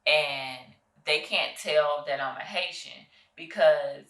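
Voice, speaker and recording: A woman's voice: deep voice, takes it slowly, a bit bright, echoey room, recorded from a distance. A woman hosting an interview, curious and prompting with frequent affirmations. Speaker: podcast host. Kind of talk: casual interview.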